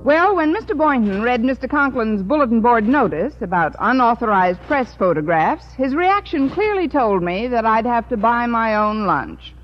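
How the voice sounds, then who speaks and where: An actress playing a wry, quick-witted schoolteacher in a radio comedy, with dry, wisecracking delivery. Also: female voice, a little high, big pitch swings, soft background music. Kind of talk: 1950s radio comedy acting. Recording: muffled, noisy old radio recording.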